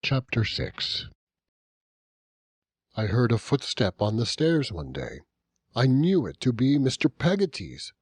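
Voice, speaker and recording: Expressive male narrator, slow and slightly husky. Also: medium pitch. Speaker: audiobook narrator. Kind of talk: dramatic literary narration.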